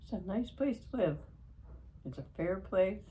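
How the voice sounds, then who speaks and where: A woman's voice: mid-range voice, lively intonation, measured pace, warm tone, rough recording. A Canadian woman chatting about her country in an earnest, agreeable way. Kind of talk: casual political chat.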